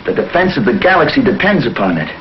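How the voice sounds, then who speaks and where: An actor playing an earnest, commanding space hero with an authoritative manner in a 1950s television serial. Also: male voice, on the high side, very animated, fast, slight background noise. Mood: earnest, urgent. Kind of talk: 1950s television science fiction acting. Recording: echoey, muffled old TV soundtrack, faint music.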